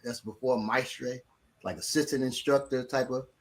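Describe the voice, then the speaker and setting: A man's voice: medium pitch, normal speed. A middle-aged man, a martial arts instructor, telling a story in casual talk and acting out what others said. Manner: animated, acts out quotes of others.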